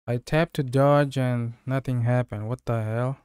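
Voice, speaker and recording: A man's voice: medium pitch, smooth, no echo, clean studio sound. A man whose first language is Portuguese, casually commenting while playing a game and grumbling at it. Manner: relaxed, chatty, quick to grumble at the game. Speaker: gameplay streamer. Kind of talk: casual let's-play commentary. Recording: game music playing behind.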